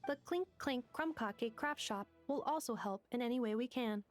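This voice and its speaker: An actress playing an eager, helpful shopkeeper in a fantasy video game. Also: female voice, fairly high pitch, normal speed, faint music behind, natural voice. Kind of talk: scripted fantasy game dialogue.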